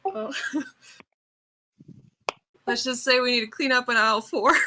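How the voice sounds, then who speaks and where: A woman's voice: a little high, takes it slowly, sharp tone. A woman chatting casually as a player in a tabletop roleplaying game.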